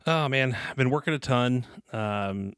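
A man's voice: medium pitch, unhurried, smooth, dry recording, studio quality. A man in his thirties with a Southern American accent, chatting casually as a podcast co-host and rambling with fillers. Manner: relaxed, rambling with fillers and laughs. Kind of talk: casual chat.

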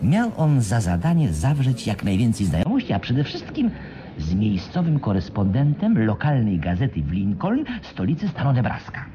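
A man's voice: medium pitch, wildly swooping pitch, moderate pace, warm tone, a little hiss or hum. A male actor in a radio play playing a shrewd, self-satisfied schemer who explains things with patient confidence. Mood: smug storytelling. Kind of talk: Polish radio play acting.

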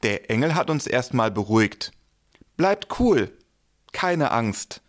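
A man playing an excited, plain-spoken shepherd in a Nativity audio play, telling his tale colloquially. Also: medium pitch, takes it slowly. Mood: excited, recounting.

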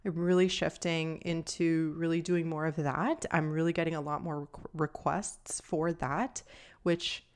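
Woman, young adult, calm, somewhat monotone, deeper voice, podcast host, casual solo talk. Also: a little slow, clean tone. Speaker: podcast host and nutrition coach.

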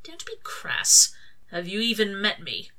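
A woman's voice: mid-range voice, wildly swooping pitch, unhurried, sharp tone, exaggerated voice, low-quality recording. A woman voicing a fussy, dignified male angel with a formal, proper manner. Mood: prim indignation. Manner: formal, proper, mildly indignant.

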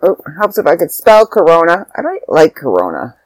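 A woman's voice: fairly low pitch, wildly swooping pitch, fairly quick, dry recording, rough recording. Stuffy, tired woman rambling to camera. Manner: rambling, self-interrupting. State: stuffy, slightly sore throat. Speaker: vlogger. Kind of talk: casual chat to camera. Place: at home.

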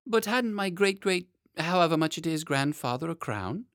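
A man's voice: a little high, expressive, moderate pace, a bit bright, dry recording, clean studio sound, voice pushed up. A man voicing a polite, fidgety, innocent little boy in a storybook tale. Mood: puzzled, curious.

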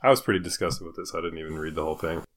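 A man's voice: low voice, steady tone, rapid-fire, a bit bright. A man co-hosting a comedy podcast in casual banter, with dry mock-serious irony and a hesitant, self-interrupting delivery. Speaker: podcast co-host. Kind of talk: casual comedy banter, reading joke news items.

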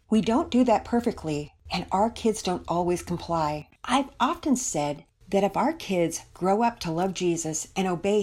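A woman's voice: lowish voice, darkish tone. A woman narrator plays a devout storybook mother, kindly and patient. She speaks earnestly, as if teaching, with widely varied intonation. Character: kindly, devout, instructive mother hosting guests.

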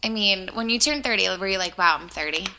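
A woman's voice: medium pitch, quick pace, noisy background. A woman, chatting casually with lots of fillers as a podcast co-host. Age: 30s. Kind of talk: casual chat.

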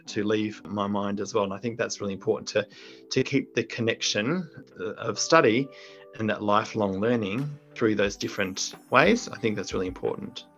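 A man's voice: on the low side, fairly bright tone. A middle-aged man, a teacher and deputy principal, giving earnest, reflective interview answers with frequent restarts. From Australia. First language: English. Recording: muffled lo-fi audio with faint music.

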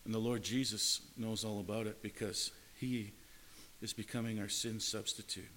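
A man's voice: lowish voice, little pitch movement, normal speed, sharp tone, a little hiss or hum. A man preaching a sermon in an earnest way, often repeating and restarting his words. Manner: earnest, repetitive phrasing with frequent restarts.

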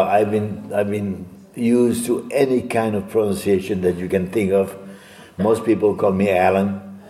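An older man with a French accent giving a talk as guest speaker, halting with many fillers and restarts. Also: low voice, dark tone, echoey room, long reverb tail. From France. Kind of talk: lecture talk.

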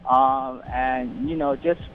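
A man's voice: mid-range voice, fairly even intonation, slow pace, a little hiss or hum. Man talking over a tinny phone line. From London and the Caribbean. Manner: rambling, many restarts and fillers. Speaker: radio guest, community organization founder. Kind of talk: casual interview over the phone.

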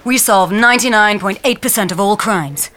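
A woman playing an obsessive, stubborn police chief in a deadpan detective parody sketch, clipped and brooding. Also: mid-range voice, moderate pace, faint music behind, natural voice. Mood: grave, suspicious. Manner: clipped, brooding, self-serious.

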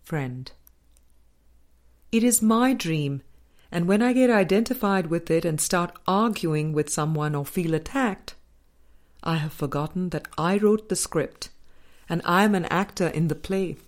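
Slow, low-pitched woman reading aloud. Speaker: audiobook reader. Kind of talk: scripted spiritual book reading.

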